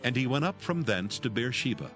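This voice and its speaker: A man narrating scripture from a script in a formal, measured manner. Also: mid-range voice, big pitch swings, fairly bright tone. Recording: music behind. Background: music.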